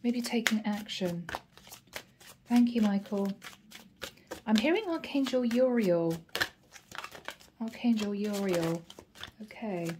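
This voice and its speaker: A woman reading tarot cards at home and talking casually to her viewers, her voice a little hoarse from a long day. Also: medium pitch, animated, takes it slowly, long pauses, background noise. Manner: chatty, warm address to viewers, frequent "guys" and "okay". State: slightly hoarse, losing her voice. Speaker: tarot reader. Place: indoor home room, table with plants.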